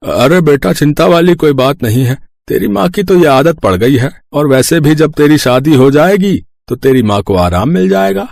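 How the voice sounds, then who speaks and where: A man voicing a kindly, resigned older village father in a cartoon story, giving gentle advice. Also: on the high side, lively intonation, normal speed, hardly any pauses, dry recording, clean studio sound, voice pushed up. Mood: reassuring. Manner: gentle, advising.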